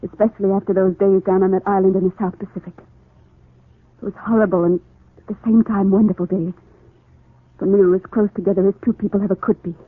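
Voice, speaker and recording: A woman's voice: medium pitch, fairly even intonation, fast, long pauses, natural voice. An actress playing a lovelorn, insistent woman in a 1940s radio soap opera, imploring and intense. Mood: wistful, insistent. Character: lovelorn, insistent woman pleading with the man she loves. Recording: tinny, echoey 1940s radio recording.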